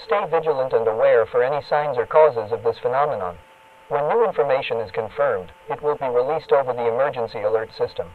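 A man playing an official emergency alert announcer, reading a scripted warning in a formal, impersonal, measured way through a radio filter. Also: mid-range voice. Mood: formal, grave. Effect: radio broadcast filter. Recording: narrow, phone-like radio broadcast sound.

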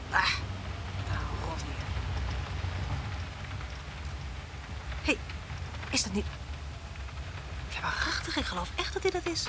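An actress playing a warm-hearted, headstrong woman schoolteacher in a Dutch radio play, with an insistent, teasing, expressive delivery. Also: medium pitch. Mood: musing, rueful. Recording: noisy old radio drama recording.

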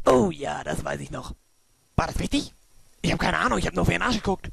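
A man's voice: high voice, expressive, fast, gravelly, a bit bright, slightly rough, dry recording, heavily acted. A man voicing a crude, irritable, dim soldier hero in a brash cartoon parody fandub. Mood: baffled. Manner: rude, brash, swearing. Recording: faintly noisy fandub audio.

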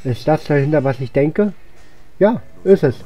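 A man's voice: fairly high pitch, animated, normal speed, dark tone. A man gives casual, rambling video game commentary, thinking aloud as he plays. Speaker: gaming channel host. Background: game music and sound effects.

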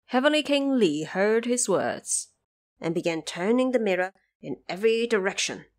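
A woman's voice: medium pitch, lively intonation, moderate pace. A woman narrating an audio drama in a scripted storytelling style.

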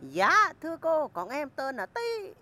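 A woman's voice: high voice, huge pitch swings, unhurried, sharp tone, exaggerated voice. A woman voicing cheeky young schoolchildren in a crude comedy cartoon, with exaggerated delivery. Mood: timid, polite.

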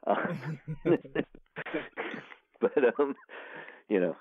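A male author as interview guest, talking in a rambling, animated way with many restarts over a phone line. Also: mid-range voice, drawn-out words. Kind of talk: casual interview conversation. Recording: tinny phone line, dry.

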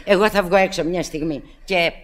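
A woman's voice: fairly low pitch, lightly acted. An actress playing a fussy, good-natured middle-aged landlady and mother in a Greek radio play, scolding fondly. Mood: fond, fussing. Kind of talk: Greek radio theatre acting.

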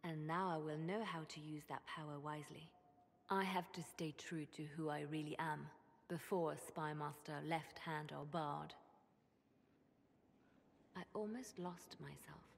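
A woman acting an earnest, conscience-torn spymaster with a measured, solemn delivery in a video game. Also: low-pitched, fairly even intonation, creaky. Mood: earnest, resolved. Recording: music behind the voice.

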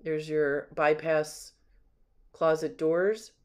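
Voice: medium pitch, fairly even intonation, extremely slow, darkish tone, smooth. A home sales agent giving a casual, unscripted walkthrough narration, admiring and describing things item by item, recorded on a handheld camera inside an empty house. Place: indoors, rooms of an empty house.

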